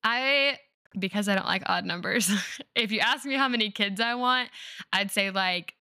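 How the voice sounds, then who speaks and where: A young woman in her twenties chats with her best friend on a podcast, giggling often and talking in easy, rambling sentences. Her voice is bright and forward. The sound is close and dry. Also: mid-range voice, moderate pace, no echo. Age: 20s. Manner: bubbly, giggly, chatty. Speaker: podcast co-host, teacher. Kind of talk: casual chat between friends.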